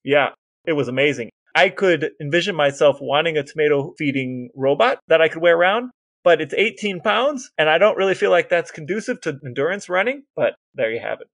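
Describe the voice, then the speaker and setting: A man co-hosting a casual podcast, telling stories with enthusiasm and asking deadpan questions. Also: a little high, a little fast, dry recording. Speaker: podcast co-host.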